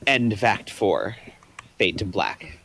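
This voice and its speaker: A man's voice: fairly low pitch, sing-song, takes it slowly, vocal fry, a bit bright, low-quality recording. A man reading the stage directions aloud in an online table read.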